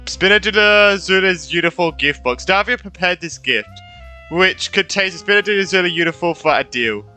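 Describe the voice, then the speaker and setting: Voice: mid-range voice, expressive, normal speed, pushed and bright. A streamer giving casual game commentary, muttering reactions and repeating phrases. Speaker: gaming streamer. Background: game music.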